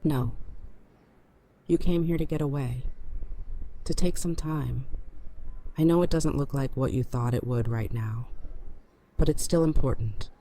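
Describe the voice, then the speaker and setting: A woman's voice: a bass voice, measured pace, long pauses, warm tone. A woman playing a resolute, protective fighter in an audio drama, speaking in a firm, terse, matter-of-fact way. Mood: firm reassurance.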